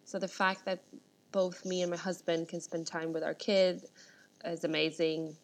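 Chatty low-voiced woman. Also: level tone, moderate pace. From Sweden. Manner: chatty, rambling with many restarts. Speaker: startup founder, guest. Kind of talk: casual interview chat.

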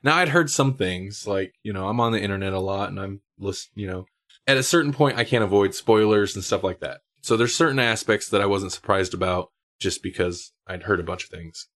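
A man's voice: on the low side, brisk, fairly bright tone. A man hosting a casual film discussion on a podcast. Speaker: podcast host.

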